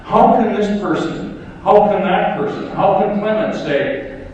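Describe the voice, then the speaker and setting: Older male public health speaker, fervent and rhetorical, in a boomy, muffled hall. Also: measured pace, darkish tone, a little hiss or hum. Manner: emphatic, rallying, rhetorical questions. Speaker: public health official giving a conference talk. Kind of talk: impassioned conference speech. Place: large lecture hall. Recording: echoey hall, distant mic, dull sound.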